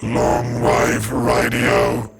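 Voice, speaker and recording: An actor playing a stiff, dutiful ship's servant who reports in a flat, clipped monotone. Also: male voice, mid-range voice, extremely slow, creaky, loud music behind the voice, exaggerated voice. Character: stiff robotic male ship servant.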